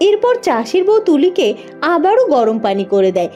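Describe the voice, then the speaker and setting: A woman's voice: high-pitched, big pitch swings, normal speed, dark tone, soft background music. A woman reading scripted narration for a village fairy-tale cartoon as its storyteller.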